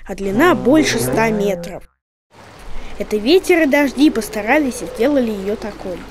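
Casting: woman playing a high-pitched, patient cartoon kid, clear-headed and explanatory. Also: lively intonation, moderate pace, background noise, natural voice. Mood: explaining. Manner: explanatory, matter-of-fact. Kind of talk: children's educational cartoon dialogue.

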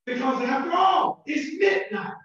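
A man with a Southern American accent, a pastor preaching a sermon with shouted emphasis and repeated words. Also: very high-pitched, measured pace, a faint crowd. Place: church sanctuary. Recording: distant, very echoey and muffled church recording.

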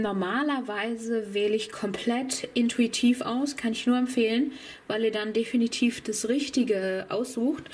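A woman's voice: a little high, background noise. A woman in her thirties talks to her camera at home, chatty and candid. She has a slight cold and drifts through her day with plenty of ums, recorded close up in a small room. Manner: chatty, frank, self-deprecating with filler ums. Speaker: vlogger. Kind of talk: casual vlog chat.

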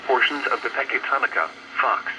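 A male announcer reads a scripted weather bulletin in an impersonal, machine-like way. He comes out of a radio speaker at a middling pitch, with loud chatter talking over him. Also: steady tone, a little fast, low-quality recording. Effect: heard through radio scanner speaker. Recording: radio speaker picked up by handheld camera. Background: listener talking over the broadcast.

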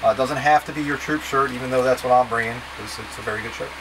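A man's voice: mid-range voice, fast talker. A man in his thirties giving step-by-step, casually directive instructions to camera as a trip leader, in a plain-spoken way. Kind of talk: instructional packing talk to camera. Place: small wood-panelled boat cabin, indoors. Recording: steady background hum and noise.

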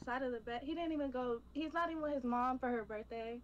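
A woman's voice: high voice, fast talker, dull audio. Young woman, faintly noisy video call.

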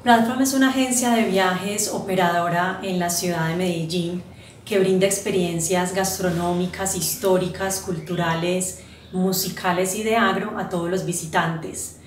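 A woman presenting her travel agency in a measured way. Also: on the low side, steady tone, reverberant, a little hiss or hum. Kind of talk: promotional presentation. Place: indoor room.

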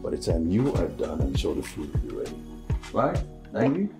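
A man playing a husband who gently coaxes his wife and calls the nanny. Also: sits low, very animated, moderate pace. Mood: coaxing. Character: calm adult husband of the house. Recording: echoey room with music behind.

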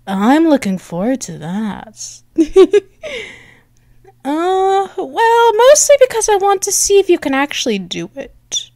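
A woman performing an intimate ASMR roleplay, bashful, halting and softly affectionate, close to the microphone. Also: on the high side, no room sound.

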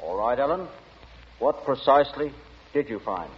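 An actor playing a droll, stubborn, deadpan director in 1940s radio acting style. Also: male voice, medium pitch, moderate pace, husky. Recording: muffled, reverberant 1940 radio recording.

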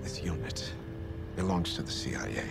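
A man playing a troubled middle-aged scientist in a video game drama, speaking softly and gravely. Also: deep voice, animated, moderate pace, over loud music. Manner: soft, grave, apologetic.

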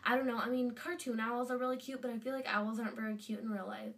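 A woman's voice: fairly high pitch, little pitch movement, fast, fairly bright tone, recorded from a distance. A teenage girl with a stuffy nose from a cold, gushing in a casual fan vlog from her bedroom. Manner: chatty and gushing, lots of fillers. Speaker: vlogger. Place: bedroom indoors. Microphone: webcam at desk distance.

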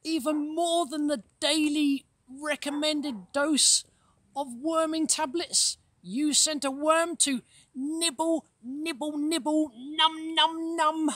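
A woman plays a grumpy bald prophet who groans and sighs through every line. He moans at a very slow, dragging pace and still lands fairly high. The sound is dry and close. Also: steady tone, a bit bright, dry recording. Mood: sulky.

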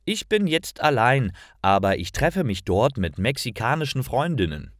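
A man's voice: medium pitch, big pitch swings, normal speed, dry recording, clean studio sound, pitched above the performer's own voice, natural voice. A man voicing a nervous, earnest young woman traveller in a story reading, with careful articulation.

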